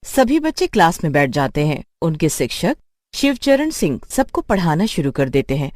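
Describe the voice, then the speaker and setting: A woman's voice: low-pitched, sing-song, normal speed, darkish tone, no echo, clean studio sound. A woman reading scripted narration for a children's cartoon story as its narrator.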